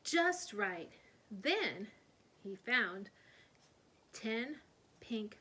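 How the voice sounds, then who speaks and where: A woman reading a children's story aloud in an expressive, animated storytelling manner. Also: mid-range voice, drawn-out words, breathy edge. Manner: animated, putting on character voices. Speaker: read-aloud storyteller, teacher.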